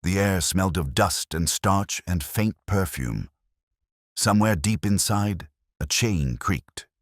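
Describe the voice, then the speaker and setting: A man reading scripted mystery fiction as an audiobook narrator, in a measured, literary, atmospheric way. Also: low voice, animated, no echo, studio quality.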